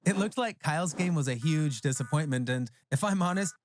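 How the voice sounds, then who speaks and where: A proud, resentful teenage boy played by a man tells his own story in a scripted animated narration. His voice sits a little high for a male, and there is noticeable noise behind it. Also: brisk, natural voice. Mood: excited.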